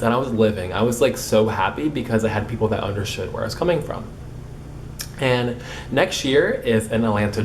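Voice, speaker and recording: A man's voice: fairly low pitch, slight background noise. A young man who stutters, with repeated starts and blocks, chatting casually to camera in a vlog. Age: young adult. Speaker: vlogger.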